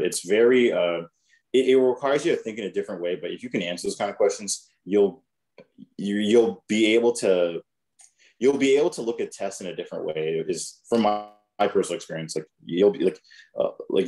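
Brief: man with a very deep voice presenting on a video call, hesitant, lots of ums and restarts. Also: darkish tone. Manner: hesitant, many fillers and restarts. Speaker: test prep presenter.

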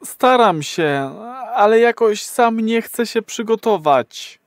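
A man's voice: high voice, lively intonation, slow pace, no room sound, studio quality. An actor playing a nervous, awkward first-time male patient in an audio drama, hesitant and sheepish. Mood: embarrassed, hesitant.

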